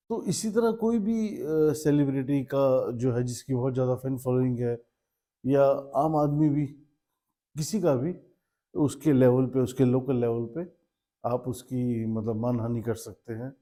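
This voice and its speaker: A man's voice: mid-range voice, warm tone. A man giving an instructive, explanatory awareness talk, mixing Hindi with English terms and listing his points. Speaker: presenter.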